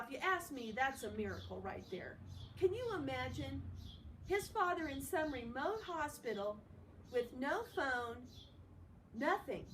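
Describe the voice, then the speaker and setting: A woman reading a story aloud expressively, in the manner of a read-along with informal commentary. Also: high voice. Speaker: storybook reader. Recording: distant mic with faint background noise.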